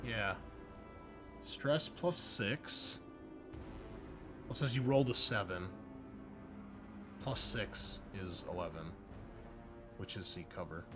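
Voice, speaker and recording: A man's voice: lowish voice, animated, takes it slowly, long pauses. Casual male game master, music behind. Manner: casual, thinking aloud through rules. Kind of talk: running a tabletop roleplaying game.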